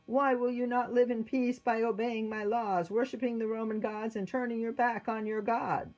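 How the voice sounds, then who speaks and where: A woman's voice: on the high side, steady tone, moderate pace, a little mellow, muffled recording, voice pushed up, natural voice. A woman voicing a fierce, imperious Roman emperor with a commanding, exclaiming manner in a story reading. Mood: fierce, demanding. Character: fierce, imperious Roman emperor, adult man, quick to anger.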